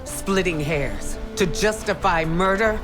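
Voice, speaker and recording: Stern, low-pitched defiant heroine. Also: female voice, slow pace, music in the background. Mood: scornful. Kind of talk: dramatic video game acting.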